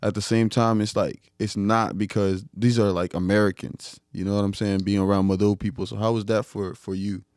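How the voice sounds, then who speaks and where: A man's voice: lowish voice, little pitch movement, fairly quick, few pauses, a little mellow, dry recording, studio quality. A man hosting a podcast, asking questions in a laid-back, slangy way. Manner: laid-back slang, "you know what I'm saying", "man", "bro". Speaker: podcast host. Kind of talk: casual interview chat.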